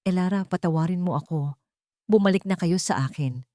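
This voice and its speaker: A woman's voice: fairly low pitch, brisk, mellow, dry recording, clean studio sound, natural voice. A woman narrator voicing a remorseful, weak-willed rich man in a story, pleading for forgiveness.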